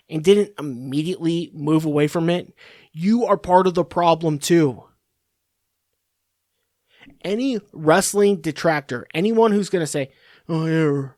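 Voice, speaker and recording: A man's voice: a little high, darkish tone, no room sound, clean studio sound. A man hosting a podcast, ranting in a profane, rambling, sarcastic monologue. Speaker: podcast host.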